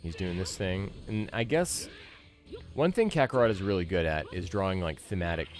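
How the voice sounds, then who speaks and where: Male game streamer, thirties, deep voice, casual conversational commentary. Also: animated, normal speed, soft background music. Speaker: game streamer, podcast host.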